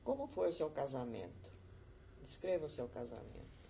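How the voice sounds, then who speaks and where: An interviewer asking calm, formal questions in an oral history interview. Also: mid-range voice, moderate pace, vocal fry, a little hiss or hum.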